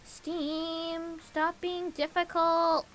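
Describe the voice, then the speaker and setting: A woman's voice: extremely high pitch, flat delivery, very slow, fairly bright tone, low-quality recording. A woman livestreamer chatting casually and playfully to herself, slipping into sing-song babble. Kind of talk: casual livestream chat while setting up games. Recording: clear background hiss.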